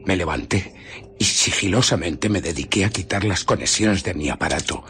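An actor playing an embittered, furtive invisible scientist who recounts his escape in a theatrical, often hushed radio drama style. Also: deep voice, animated, moderate pace, background noise. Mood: tense recounting.

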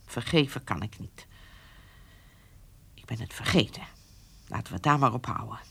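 A proud old lady in a naturalistic radio play. Her voice is sharp and lively in pitch, and she is blunt and cutting, giving no forgiveness. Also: normal speed, vocal fry, slightly rough, full character voice. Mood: bitter, cold. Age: older. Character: proud, stern, unforgiving old woman in a care home. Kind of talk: naturalistic radio drama dialogue.

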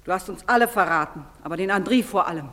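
A woman's voice: fairly low pitch, fast talker, fairly bright tone, close to an everyday voice. An actress playing a proud, betrayed wife and mother, stern and accusing in declamatory stage style. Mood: bitter, accusing. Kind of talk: theatrical stage-play acting. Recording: long reverberant hall sound.

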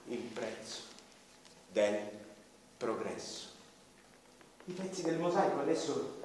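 Solemn man narrating from far off in an echoing hall. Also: slight background noise. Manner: solemn, heavy with pauses, swells then sinks low. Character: dead man telling his own story. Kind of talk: dramatic radio theatre monologue. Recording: echoey hall, voice far from the mic. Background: violin music.

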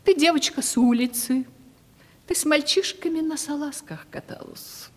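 A woman's voice: high-pitched, moderate pace, a little mellow, close to an everyday voice. An actress playing a vain, domineering aging provincial lady in a Russian radio play, with a theatrical, imperious manner. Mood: stern, condescending. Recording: reverberant 1970s radio play recording.

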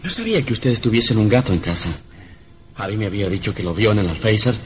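An actor playing a young novelist husband in an old radio drama, earnest, curious and theatrical. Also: male voice, lowish voice, animated, fast, a little hiss or hum, close to an everyday voice. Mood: startled, uneasy.

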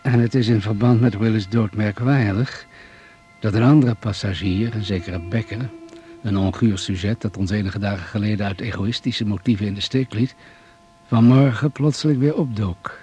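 A man's voice: on the low side, normal speed, mellow, close to an everyday voice. An actor playing a dry, authoritative male doctor leading survivors in a radio drama. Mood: matter-of-fact.

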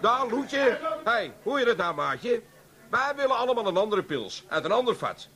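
Pushy cocky amsterdam hustler, high husky voice, bossy, 80s dutch radio comedy. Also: male voice, very animated, normal speed, sharp tone, full character voice. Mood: bossy, threatening. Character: brash, bullying Amsterdam schemer and pub regular. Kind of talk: 1980s Dutch comedy radio play acting.

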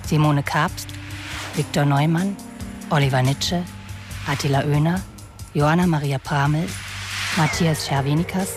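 An announcer reading scripted radio play credits, name by name. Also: medium pitch.

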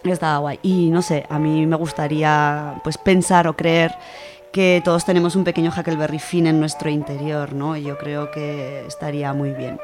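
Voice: mid-range voice, level tone, normal speed. Book reviewer from Spain over music. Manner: conversational, explanatory, colloquial. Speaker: book review contributor on a radio show. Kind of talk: informal spoken book review. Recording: music under the voice.

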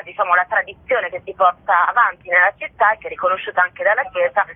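A woman from southern Italy, a playwright and actress, talks as a radio guest in a rambling way, full of filler words and pauses to search for words. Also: on the low side, breathy edge, no room sound. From Bari, southern Italy. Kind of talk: radio interview over the phone. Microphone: telephone. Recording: tinny phone line.

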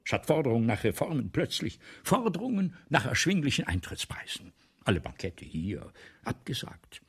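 An actor playing a vain, excitable playwright in a German radio play, with a pompous, theatrical, lecturing manner. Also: male voice, medium pitch, moderate pace, gravelly, soft background music, full character voice. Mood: excited, proud. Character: vain, excitable, self-dramatizing 19th-century male playwright.

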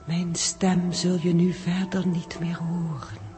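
A very slow, hushed voice of a living planet. It speaks like a mysterious oracle, breathy and solemn, with music in an old radio play. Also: mid-range voice, level tone. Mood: solemn warning. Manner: whispery, slow, oracular. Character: soft, enigmatic living planet speaking to an astronaut. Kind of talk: 1960s radio drama acting. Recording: 1960s radio recording with music.

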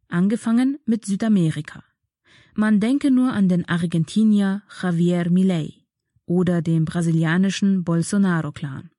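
A woman presenter reading a scripted political commentary aloud in a measured, formal way. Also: lowish voice, mellow, no echo, clean studio sound.

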